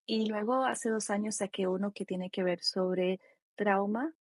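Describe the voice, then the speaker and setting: A Costa Rican woman speaks with a husky voice, close and dry in the recording. She is an expert guest, explaining things step by step in a reflective, conversational way. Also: mid-range voice, steady tone, unhurried, dry recording. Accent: Costa Rican Spanish. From Costa Rica. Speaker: expert guest, psychologist and author.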